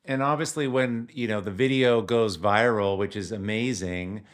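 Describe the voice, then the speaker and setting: Male interview host, lowish voice, relaxed and affirming, talks with plenty of fillers. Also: moderate pace. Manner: encouraging, many agreeing yeahs and fillers. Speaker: podcast host. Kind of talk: casual interview conversation.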